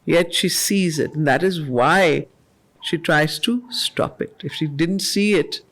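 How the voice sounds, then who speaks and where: Slow, measured woman reciting verse. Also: deep voice, fairly bright tone. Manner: slow, measured recitation, repeating lines for emphasis. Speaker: study circle facilitator. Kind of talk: reading poetry aloud with spiritual commentary. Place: meeting room of a study group.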